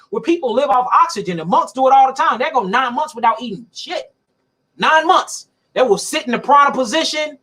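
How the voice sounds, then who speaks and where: A man with a very high-pitched voice preaches in an emphatic, colloquial monologue. He repeats his points for effect and laughs often between them. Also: a bit bright. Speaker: alternative health speaker.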